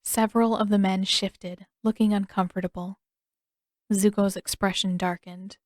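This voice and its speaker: Female audiobook narrator, mid pitch, reading fiction in a dry recording space. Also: level tone, moderate pace, dry recording. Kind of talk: scripted story narration.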